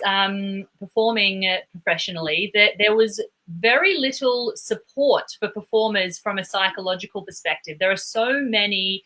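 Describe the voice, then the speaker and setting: Thoughtful Australian woman, bright voice. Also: mid-range voice, rough recording. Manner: thoughtful, measured, with small restarts. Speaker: guest, performance psychologist. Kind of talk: casual video-call interview. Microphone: video call.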